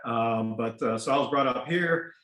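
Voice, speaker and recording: An older American-raised man whose first language is Ukrainian, talking in a mid-range voice over a video call. His speech is relaxed and rambling, full of small fillers, and the room around him rings with a noticeable echo. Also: medium pitch, normal speed. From United States. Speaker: guest, doctor and lawyer. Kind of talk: casual interview conversation.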